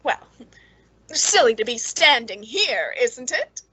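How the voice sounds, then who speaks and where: A woman's voice: on the high side, very animated, slow, bright, forceful tone, slightly rough, no echo, exaggerated voice, rough recording. An actress playing a lonely, eager, nervously polite woman in an old-time radio suspense drama, hesitant and genteel. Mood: nervous, friendly.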